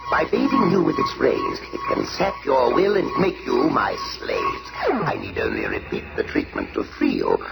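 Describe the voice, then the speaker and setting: An actor playing a gloating, imperious pharaoh from the future in a pompous 1970s radio drama style. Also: male voice, medium pitch, wildly swooping pitch, normal speed, gravelly, exaggerated voice, low-quality recording. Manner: pompous, theatrical commanding. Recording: 1970s radio recording, loud music behind.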